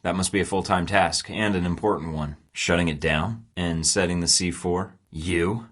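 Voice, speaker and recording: A man's voice: low-pitched, fairly even intonation, normal speed, fairly bright tone. An actor voicing a cocky young male spy recruit in a video game, speaking in a wry, sarcastic, self-assured way. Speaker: game character.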